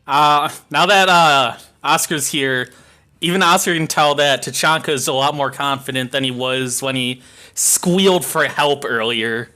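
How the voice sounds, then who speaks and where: Bright-voiced man in a tabletop game. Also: mid-range voice, unhurried. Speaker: player in a tabletop role-playing game. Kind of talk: casual joking game chat.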